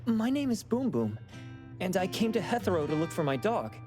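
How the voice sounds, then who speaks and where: A woman voicing an earnest, gentle young woman in a video game, speaking plainly. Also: medium pitch, sing-song, normal speed, lightly acted. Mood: wistful. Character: earnest, gentle young woman searching for her lost dog. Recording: music with instruments behind the voice.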